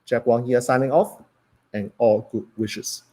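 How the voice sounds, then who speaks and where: A man's voice: medium pitch, measured pace. A man with a strong Hong Kong accent hosting a solo live stream, talking energetically to viewers with frequent restarts and "okay"s. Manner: energetic, voice rising then dropping, frequent okays and restarts.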